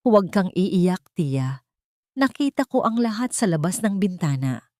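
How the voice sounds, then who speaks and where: A woman voicing a kind, humble working man speaking gently. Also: on the low side, big pitch swings, unhurried, dry recording, clean studio sound. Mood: gentle.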